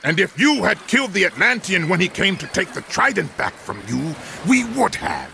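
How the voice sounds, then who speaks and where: An imperious cyclops warlord from a video game, with a sharp, gritty voice. The delivery is theatrical and threatening, swinging through the pitch range with arrogant force. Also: male voice, very animated, moderate pace, gravelly, slight background noise, full character voice. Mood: scornful. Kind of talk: video game cutscene acting.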